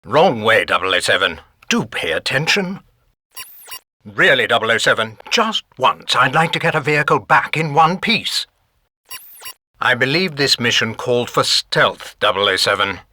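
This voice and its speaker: An actor playing a fussy British gadget master in a spy video game, speaking formally in a clipped, dryly exasperated way. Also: male voice, mid-range voice, big pitch swings, moderate pace, bright, forceful tone, full character voice. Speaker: mission support quartermaster. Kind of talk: video game mission briefing voice acting.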